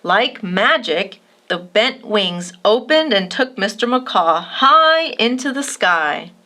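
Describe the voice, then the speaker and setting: Casting: female storybook host, bright and slow-paced, expressive read-aloud for kids. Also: medium pitch. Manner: expressive, addresses young listeners directly. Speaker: children's storybook reader and host. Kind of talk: animated read-aloud narration for children.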